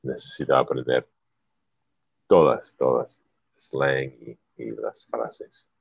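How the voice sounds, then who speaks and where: Male host, native English speaker, learner's Spanish: very deep, gravelly, slow, hesitant, lots of fillers. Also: fairly even intonation, dry recording. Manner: halting, searching for words, many fillers. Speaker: podcast host. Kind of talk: casual chat, learner's Spanish.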